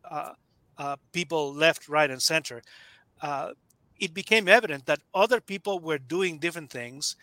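A man's voice: on the high side, moderate pace, bright, forceful tone, no echo. A man in his fifties from Nicaragua, talking as a guest author in a casual interview, hesitant with many fillers and restarts.

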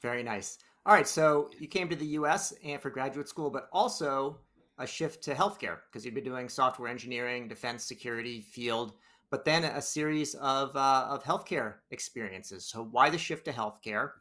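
A man with a bright, forceful voice hosts a business podcast. He reads his introduction smoothly, then asks his questions loosely, with small restarts and fillers. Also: mid-range voice, normal speed. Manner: conversational, with fillers and restarts when asking. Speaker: podcast host.

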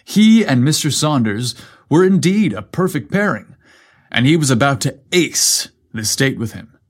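A man's voice: mid-range voice, big pitch swings, unhurried. A man narrating a scripted story as a podcast host, with a playful, cheeky manner.

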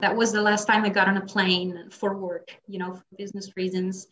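A woman's voice: mid-range voice, flat delivery, a little fast, a bit bright, highs cut off. A young adult woman with an Italian accent, a native Italian speaker, chatting as a podcast guest about her work as an interpreter, with frequent laughs and fillers. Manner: chatty, laughs often, many fillers and restarts.

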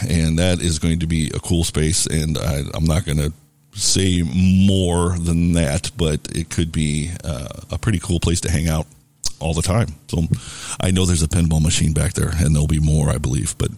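A man with a deep, booming voice hosting a casual live radio show from a bar, rambling easily with lots of fillers. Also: moderate pace, no echo. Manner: relaxed, rambling with many fillers. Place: bar and restaurant.

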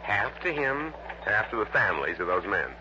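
An actor playing a businesslike American insurance man in a 1960s radio drama, explaining things deliberately and matter-of-factly. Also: fairly low pitch, very animated. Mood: businesslike briefing. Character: businesslike male insurance office man briefing an investigator. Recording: noisy, dull lo-fi old radio recording.

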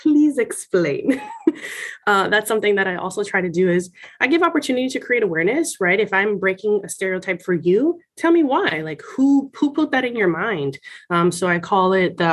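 A woman from Miami speaking in a conversational interview, explaining things from experience in an articulate, emphatic, self-assured way. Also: fairly low pitch. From Miami, United States. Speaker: senior college administrator being interviewed.

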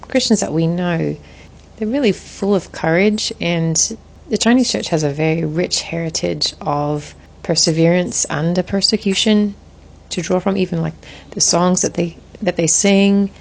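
A woman in her forties, a guest in an interview, talking in a thoughtful, measured way with frequent restarts. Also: on the low side, steady tone, gravelly. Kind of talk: reflective interview conversation.

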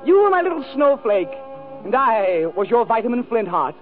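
A man's voice: very high-pitched, big pitch swings, normal speed, phone-quality sound, voice pushed up. A man playing a melodramatic, gushing lover, sighing and repeating himself in a 1940s radio comedy monologue. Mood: wistful, theatrical. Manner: overwrought, sighing, repeating phrases for effect. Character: melodramatic, gushing male lover reciting lost romance. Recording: thin vintage radio broadcast with music, audience.

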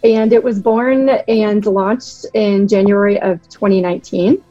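Woman, 30s, from Boston, interview guest, mellow tone, measured, slightly muffled recording. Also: mid-range voice, steady tone, no room sound, faint music behind. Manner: articulate, measured explanations. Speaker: guest, product director. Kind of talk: podcast interview.

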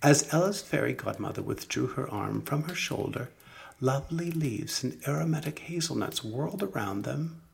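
Male storybook reader with a markedly gravelly, creaky voice, deliberate and expressive. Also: mid-range voice. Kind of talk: scripted children's story narration.

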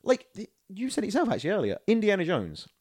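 A man co-hosting a podcast, talking casually and in a rambling, animated way about films and games. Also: high voice, fast, no echo, studio quality. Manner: animated, rambling, acts out quotes. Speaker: podcast co-host. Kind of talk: casual film and video game chat.

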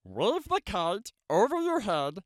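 A man's voice: high voice, wildly swooping pitch, slow pace, no echo, studio quality, voice pushed up, exaggerated voice. A man voicing Frog, a cheerful, patient grown male frog, reading a storybook aloud. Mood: encouraging. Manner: encouraging, storytelling.

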